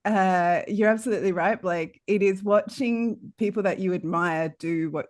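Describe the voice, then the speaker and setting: A woman's voice: mid-range voice, moderate pace, darkish tone, no room sound. A woman with a mid-range voice speaks earnestly in a dry, close recording. She is casual and thoughtful, encouraging, and often repeats a phrase to rework it. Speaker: panel guest, podcaster.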